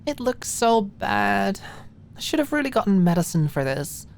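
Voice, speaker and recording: A woman's voice: mid-range voice, very animated, normal speed, vocal fry, slight background noise, pitched above the performer's own voice. A woman voicing a friendly, giggly young woman with a playful, chatty manner in an audiobook. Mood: weary complaining. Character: Maud, friendly giggly young woman, tired traveler, teasing. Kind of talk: audiobook character dialogue.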